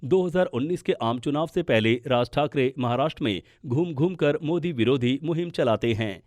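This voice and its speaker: A man narrates a scripted political news piece for a podcast. His voice sits at a medium male pitch. The sound is very dry, with little room around it. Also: expressive, normal speed, a little mellow, no echo.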